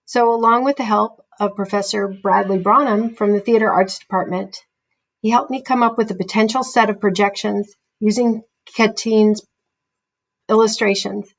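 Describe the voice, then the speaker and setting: A woman's voice: medium pitch, creaky, low-quality recording. A young woman, a student playwright, giving a scripted presentation about a project. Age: young adult.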